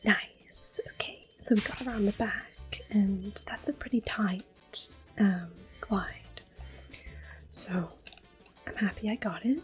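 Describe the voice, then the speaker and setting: Female ASMR gameplay host: soft-spoken to whispered, unhurried delivery, medium pitch. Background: game music.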